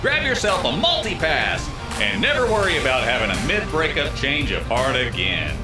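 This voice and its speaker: A peppy, slick commercial announcer for space travel. His mid-pitched voice swings energetically. Loud music runs behind the parody advert. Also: very animated, normal speed. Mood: salesy, peppy.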